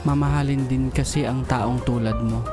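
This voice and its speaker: An actor playing a gentle male boss who is in love, speaking softly and earnestly in an audio drama. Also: medium pitch, steady tone, moderate pace, natural voice. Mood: tender. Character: gentle smitten male boss, secretly playful. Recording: music bed under voice. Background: romantic background music.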